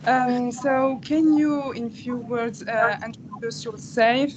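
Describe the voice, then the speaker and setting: Slow, flat-voiced woman interviewer. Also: a little high, background noise. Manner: halting, filler-heavy, flat delivery. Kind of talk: video call interview.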